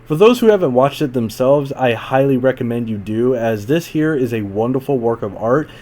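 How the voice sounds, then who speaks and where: A man's voice: medium pitch, lively intonation, normal speed, darkish tone. A man hosting a podcast, giving a scripted story recap with informal, wry asides. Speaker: podcast host and reviewer. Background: music.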